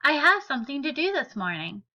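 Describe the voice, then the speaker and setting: A woman's voice: high voice, big pitch swings, a little fast, sharp tone, highs cut off, heavily acted. A woman voicing an eager, polite nine-year-old boy in a hurry, from a children's storybook. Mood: hurried, eager.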